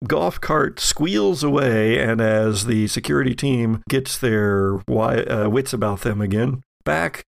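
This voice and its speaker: An American man acts as game master, narrating scenes off the cuff. His mid-pitched voice has a gravelly creak, and he feels his way haltingly through each description. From Texas, USA. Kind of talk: improvised tabletop game narration.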